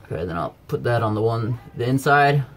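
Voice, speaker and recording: A man with an average-pitched voice presents a casual how-to. He explains each step plainly and informally while he works. It is captured on a handheld camera, with noticeable ambient noise around him. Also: lively intonation, a little fast. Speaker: presenter of DIY how-to videos. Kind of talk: casual hands-on tutorial narration. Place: around a backyard tub and a workshop.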